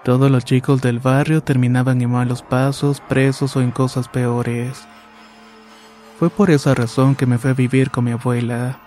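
A man narrating horror stories from a script in a calm, even reading. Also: mid-range voice, normal speed, soft background music.

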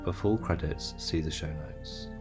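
A man reading a scripted introduction to an audio piece in a measured, instructional way, with a low, gravelly voice. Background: plucked guitar music.

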